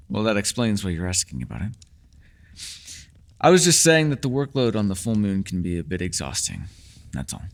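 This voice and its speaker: A man playing a mysterious, ageless male elf in a fantasy ASMR roleplay, teasing yet secretive, with courteous, formal, old-fashioned phrasing. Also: on the low side. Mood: polite, evasive.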